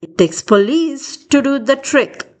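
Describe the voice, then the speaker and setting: Slow, high-pitched, animated woman storyteller. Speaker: children's book reader. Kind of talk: expressive storybook read-aloud.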